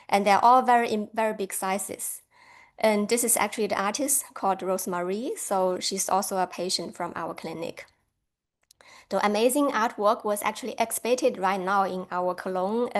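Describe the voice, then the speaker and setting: A woman from China giving a friendly academic lecture over a video call, laughing lightly now and then. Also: medium pitch.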